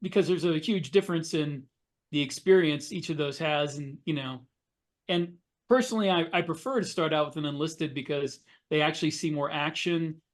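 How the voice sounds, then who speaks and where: A man's voice: on the high side, normal speed. A middle-aged man, a podcast co-host, explaining things in a casual conversational way.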